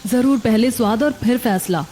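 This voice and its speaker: A woman's voice: on the high side, moderate pace, warm tone, natural voice. A woman playing a patient, honest young daughter-in-law who runs a food stall in a cartoon. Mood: earnest, reassuring. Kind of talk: cartoon dialogue acting. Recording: background music under voice.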